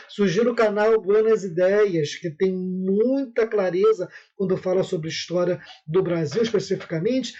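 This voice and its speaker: High-voiced Brazilian man lecturing. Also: a little mellow, lo-fi sound. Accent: Rio de Janeiro Portuguese. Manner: explanatory, checks in with "tá?" and "né?", frequent restarts. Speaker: spiritual center leader teaching a class. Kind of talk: informal lecture.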